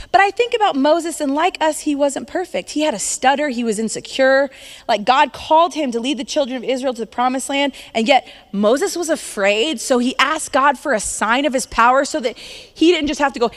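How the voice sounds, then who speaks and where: A woman in her thirties preaching a sermon in a conversational, upbeat way, laughing now and then. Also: a little high. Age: 30s. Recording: long hall reverb.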